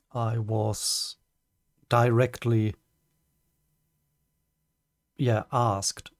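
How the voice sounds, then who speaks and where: A man with a German accent and German as his first language, giving a prepared personal statement as a streamer, careful and hesitant with many pauses and restarts. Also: mid-range voice, a little mellow, no room sound, studio quality.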